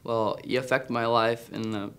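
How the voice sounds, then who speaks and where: A man's voice: medium pitch, little pitch movement, moderate pace, fairly bright tone, clear, resonant tone. A teenage boy from Brazil with a Brazilian Portuguese accent, answering an interview in careful, simple English. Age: teens. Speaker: interviewed student.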